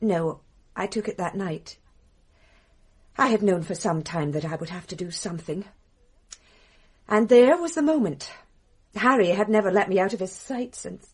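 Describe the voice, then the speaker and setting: Actress doing posh british wife in a radio mystery, kinda husky, bitter irony, wistful, confessing it all. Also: female voice, medium pitch, moderate pace, pauses often, natural voice. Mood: resigned, confessing. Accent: British English. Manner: theatrical, bitterly ironic, emotionally swinging. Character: titled English wife confessing murder, brittle, scornful, wistful. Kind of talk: radio drama acting.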